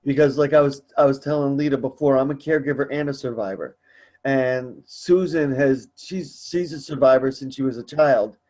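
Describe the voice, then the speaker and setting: A man's voice: mid-range voice, normal speed, darkish tone. A man speaking casually as host of a support group conference call, earnest, encouraging and a bit rambling.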